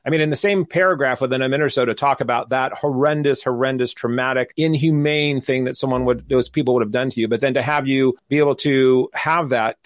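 A man's voice: medium pitch, steady tone, fast talker, hardly any pauses, dry recording, studio quality. A man hosting a podcast interview, effusive and asking long rambling questions. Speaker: podcast host.

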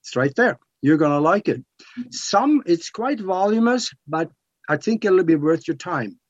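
A man's voice: sits high, lively intonation, moderate pace, no echo. A man in his seventies from Norway with a Norwegian accent, explaining things emphatically as a talk radio guest. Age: 70s. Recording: dull lo-fi talk radio audio.

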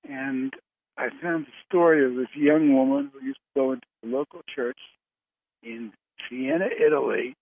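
A man hosting a conference call in a casual, rambling way, pausing often mid-sentence, heard over the telephone. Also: a little high, creaky. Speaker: host of a conference call show. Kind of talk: casual, rambling talk to callers. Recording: narrow phone line with background noise.

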